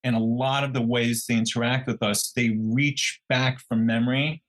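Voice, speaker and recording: A man's voice: mid-range voice, little pitch movement, moderate pace. Male podcast co-host, mid-range voice, conversational and reflective, feeling his way through each point. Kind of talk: casual true crime discussion.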